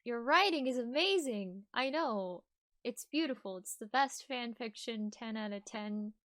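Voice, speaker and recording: A woman's voice: a little high, measured pace, no echo, studio quality. A woman chatting casually and animatedly on a livestream while reading fan fiction. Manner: giggly, animated. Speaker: voice actor and streamer.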